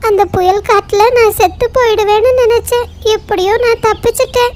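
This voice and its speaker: A woman's voice: very high-pitched, fairly even intonation, fairly quick, a little mellow, voice pushed up. A woman voicing a frightened young male bird child in a children's cartoon story. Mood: relieved, shaken.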